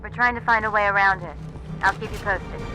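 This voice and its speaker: A man playing a steady military field commander in a video game, giving clipped, informal reports. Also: sits high, fairly quick, close to an everyday voice, poor audio. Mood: businesslike. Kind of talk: video game cutscene acting. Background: music.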